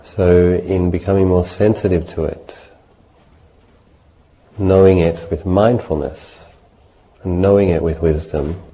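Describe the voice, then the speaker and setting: A man leading a guided meditation as a Buddhist teacher, speaking in a measured, gentle way with deliberate pauses between short phrases. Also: deep voice, steady tone, low-quality recording.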